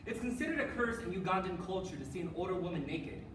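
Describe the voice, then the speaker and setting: A woman's voice: fairly low pitch, expressive, fast. Young woman performing, distant in echoey room. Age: young adult. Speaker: readers theater performer on a college forensics team. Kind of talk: rehearsed dramatic readers theater speech. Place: indoor room, live stage performance. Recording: echoey room from far off, noisy.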